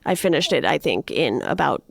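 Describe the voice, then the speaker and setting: A woman talks casually as a podcast co-host in a book discussion. Her voice sits a little low and has a gravelly fry to it. The recording is dry, with very little room sound. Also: very animated, moderate pace, no room sound. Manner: frequently laughs while talking.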